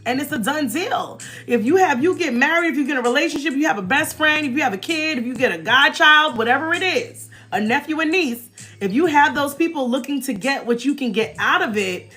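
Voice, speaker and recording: An American woman in her forties hosting a casual, chatty livestream with animated, emphatic delivery and informal slang. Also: high-pitched, fairly quick. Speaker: livestream host. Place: small indoor room, backdrop behind. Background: faint music.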